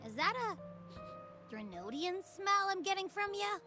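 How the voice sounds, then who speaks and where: A woman voicing a small, excitable creature companion with a big appetite and casual, playful speech in a video game. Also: very high voice, slow, heavily acted. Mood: curious, casual. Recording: music playing over the voice.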